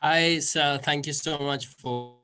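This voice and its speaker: A man from Uganda who runs a youth media nonprofit, courteous and brisk. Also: fairly high pitch, steady tone.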